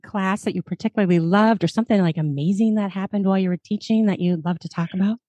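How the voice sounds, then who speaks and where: A woman's voice: medium pitch, fast talker, mellow, no echo. A woman, a podcast host and audiobook narrator, chatting casually and laughing often, recorded in a small foam-lined home booth. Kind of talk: casual chat.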